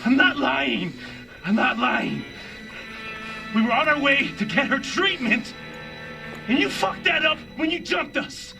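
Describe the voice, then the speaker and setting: An actor playing an urgent, pleading military rescue operative in a video game cutscene. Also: male voice, extremely high pitch, expressive, a little slow, vocal fry, long pauses, far from the mic, over music, heavily acted. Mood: desperate, pleading.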